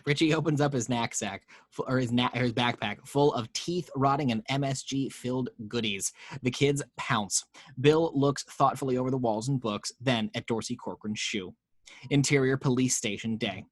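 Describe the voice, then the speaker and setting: A man's voice: mid-range voice, normal speed, no echo. A man narrating the action lines of a screenplay table read, with wry, playful asides.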